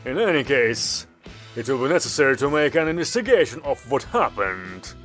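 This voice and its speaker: A man's voice: medium pitch, big pitch swings, moderate pace, fairly bright tone, faint music behind. A man voicing a curt, weary male commander giving orders with dry sarcasm. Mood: commanding.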